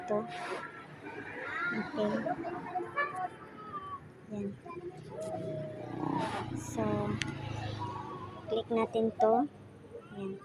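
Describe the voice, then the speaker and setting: A woman with a Filipino accent giving a casual step-by-step tutorial in a halting, self-correcting way, like someone demonstrating as she goes. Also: on the high side, expressive, gravelly. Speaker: tutorial presenter. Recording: noisy home recording with animal sounds.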